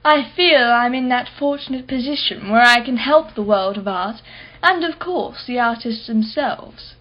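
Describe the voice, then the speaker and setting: Woman acting a haughty rich collector, higher-pitched, sardonic. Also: unhurried, muffled recording. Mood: self-satisfied. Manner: condescending, mocking, self-satisfied. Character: wealthy, haughty, sardonic female art collector. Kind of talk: radio play acting.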